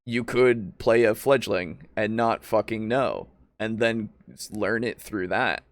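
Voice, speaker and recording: A man's voice: fairly low pitch, slow pace, dry recording, clean studio sound. A man chatting informally with friends as a podcast co-host, swearing freely. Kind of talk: casual discussion among friends.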